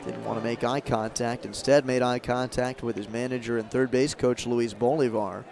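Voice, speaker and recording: A man doing live radio play-by-play of a baseball game as the announcer, in measured calls with emphasis on key moments. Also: medium pitch, flat delivery, gravelly, music in the background. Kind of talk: live radio sports commentary. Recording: crowd and ambient stadium noise behind. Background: ballpark crowd murmur.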